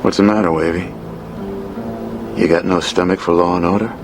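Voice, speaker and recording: An actor playing a menacing town strongman in a screen drama, gruff and confrontational. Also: low-pitched, steady tone, normal speed, music in the background, lightly acted. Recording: noisy film soundtrack.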